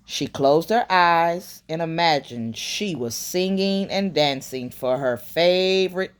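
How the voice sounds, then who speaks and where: A woman reading a children's picture book aloud in an expressive way, with quiet murmured asides, as a bedtime storyteller. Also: lowish voice, takes it slowly, clean tone.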